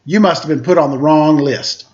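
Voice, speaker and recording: A man's voice: on the high side, moderate pace, darkish tone, muffled recording, close to an everyday voice. A man voicing a bossy young big sister from a storybook, complaining and scolding. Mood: dismissive.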